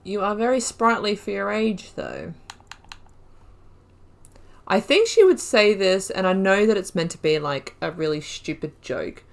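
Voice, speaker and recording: A woman's voice: medium pitch, expressive, a little slow, vocal fry. A woman giving casual game commentary, thinking aloud with lots of fillers as she picks dialogue options. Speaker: gaming YouTuber. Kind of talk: casual let's play commentary.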